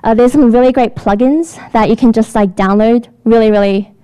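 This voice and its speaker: A woman's voice: on the high side, fairly quick, mellow, clean tone, rough recording. A woman giving a casual, upbeat conference talk, with lots of fillers. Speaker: software engineer giving a talk. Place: event hall with an audience.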